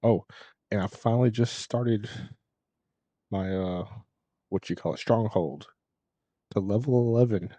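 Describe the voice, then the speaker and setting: A man's voice: fairly low pitch, unhurried, pauses often, mellow, no room sound. A man livestreaming games, chatting casually with his viewers in a laid-back, informal way, close to the microphone. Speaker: game livestreamer.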